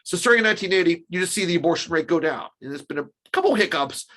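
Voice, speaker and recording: A man's voice: high voice, expressive, racing, a bit bright. A man giving explanatory interview commentary as a research scholar, emphatic and often restating his points. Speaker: podcast guest, research scholar.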